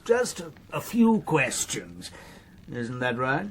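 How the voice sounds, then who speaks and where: An actor playing a deferential, placating police superintendent in a radio crime drama, polite, hesitant and apologetic. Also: male voice, mid-range voice, huge pitch swings, slow. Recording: faint background noise.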